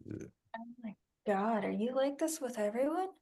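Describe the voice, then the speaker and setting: Female dancer under questioning, read by an actress: heavy vocal fry, guarded, conversational, indie film drama. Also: fairly high pitch, expressive, normal speed, warm tone. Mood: wry, guarded. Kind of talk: horror film script table read.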